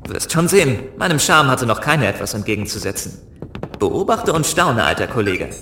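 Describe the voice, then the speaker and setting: A man's voice: mid-range voice, big pitch swings, fairly quick, noisy background, close to an everyday voice. A man playing a cocky, flirtatious younger spaceship crewman in a science-fiction audio drama, boastful and teasing. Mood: cocky, scheming. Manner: boastful, teasing, smooth-talking. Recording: echoey room, music behind.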